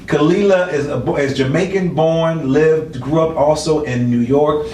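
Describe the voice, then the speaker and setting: A man's voice: on the high side, unhurried, warm tone, slight background noise. Playful slangy man hosting, echoey room. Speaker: talk show host. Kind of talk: casual interview show. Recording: echoey room, distant mic.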